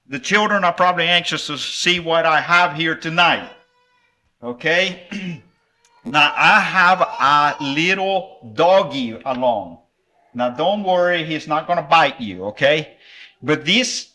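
Preacher, middle-aged man from El Salvador, friendly chatty revival sermon, voice a little high and very bright. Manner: friendly, conversational, with small laughs and fillers. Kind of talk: revival sermon with children's object lesson.

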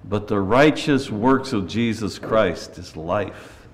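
An older man preaching a sermon in church, earnest and measured, with folksy asides and a slightly raspy voice. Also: on the low side, expressive.

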